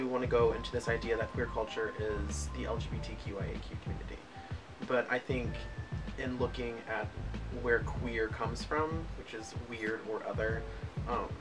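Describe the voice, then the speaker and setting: A man's voice: medium pitch, distant mic, low-quality recording. A man in his twenties, a student giving reflective answers in an interview. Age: 20s. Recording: music under the voice.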